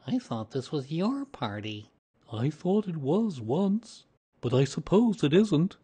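Storybook male toy bear, acted by a narrator: slow, simple, kindly, a touch doleful. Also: mid-range voice, very animated, warm tone, husky, voice pushed up, heavily acted.

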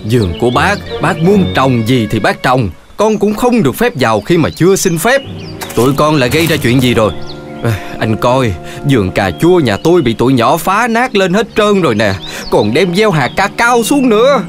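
An indignant cartoon bull farmer, an uncle figure with a Southern Vietnamese accent. His voice is fairly high and swings animatedly as he scolds and lectures. Music is clearly heard behind him. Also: sing-song, normal speed. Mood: scolding, stern. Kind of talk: children's cartoon voice acting.

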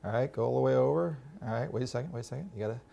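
A man's voice: medium pitch, rapid-fire, gravelly, lo-fi sound, crowd noise. A middle-aged man, a chef, patiently walking children through a recipe in a home kitchen. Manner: patient, instructive, coaching kids. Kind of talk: cooking demonstration.

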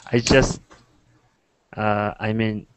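Young guy from mongolia, slow hesitant english, video call earphone mic. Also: lowish voice, little pitch movement, clean tone, no echo. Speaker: student. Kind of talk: halting learner English.

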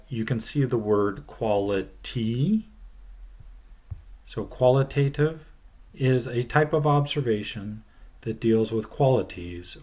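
A middle-aged man gives a science lesson from his desk, speaking at a slow, unhurried pace. His voice sits in an ordinary middle register. He lays out each idea carefully and lets his phrases fall away at the end, recorded on a webcam in a small plain room. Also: medium pitch, a little mellow. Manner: slow, deliberate explaining with falling phrase ends. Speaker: teacher. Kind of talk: recorded video lecture.

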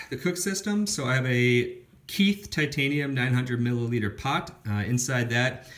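A man's voice: on the low side, a bit bright. A young man casually talking through his hiking gear, listing items with lots of ums and restarts. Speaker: hiker showing his gear. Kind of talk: casual gear walkthrough voice-over. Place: indoors at home, filming gear on a floor.

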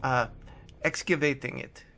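A man's voice: medium pitch, steady tone, a little slow, vocal fry, pushed and bright, slight background noise. A man voicing a terrified Nazi fugitive in a horror story, with a German accent and a whimpering, stammering delivery. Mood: haunted, whimpering. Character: terrified Nazi fugitive, thin, wild-eyed man, near hysteria.

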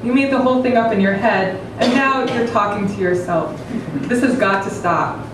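A woman's voice: mid-range voice, moderate pace. A woman in her thirties giving a dramatic reading of satirical fiction on stage. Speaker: reader at a literary event. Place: stage of a small event room with an audience. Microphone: table microphone on a stand. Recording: very echoey hall, distant mic, noisy.

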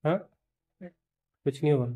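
A man, a doctor, asking a patient plain, quick questions in a casual bedside manner in a clinic room. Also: fairly high pitch, mellow, slightly rough.

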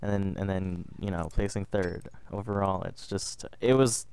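Young man, a bit under the weather, casual rambling storyteller over game footage, low-pitched. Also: steady tone, normal speed, darkish tone, clear, resonant tone. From Canada. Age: young adult. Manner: rambling, repeats words and restarts often. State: a little sick. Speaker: gaming vlogger.

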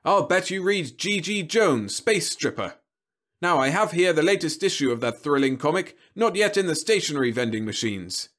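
Man voicing a smooth robot salesman, pitched high. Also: animated, normal speed, a bit bright. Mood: ingratiating. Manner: polished, winning sales patter.

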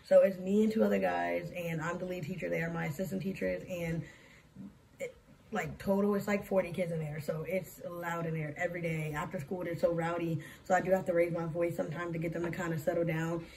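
Young woman w a hoarse gravelly voice, rambly casual vlog chat, phone a bit far, light hiss. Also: lowish voice, little pitch movement, a little fast, a little mellow. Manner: rambling, filler-heavy, talks with her hands. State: hoarse, losing her voice. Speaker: vlogger and daycare lead teacher. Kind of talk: casual chatty life-update vlog. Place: small room indoors. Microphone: phone camera at arm's length.

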